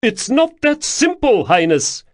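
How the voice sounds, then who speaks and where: A man's voice: high-pitched, very animated, measured pace, voice pushed up. A man voicing a wary veteran captain. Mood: deferential. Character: male army captain, wary veteran soldier.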